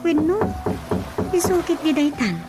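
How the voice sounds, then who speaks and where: A high, unhurried dutiful daughter. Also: female voice, expressive. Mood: caring. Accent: Ilocano. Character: young adult daughter, dutiful and bright. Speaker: radio drama actress. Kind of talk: radio drama acting. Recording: music bed under the dialogue. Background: music.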